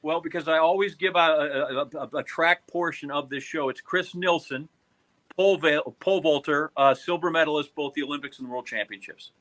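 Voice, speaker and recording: An American man with a fairly high, bright voice. He hosts in a casual, quick-fire question style, needling his guest with mock-grumpy teasing. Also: poor audio. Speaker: podcast co-host.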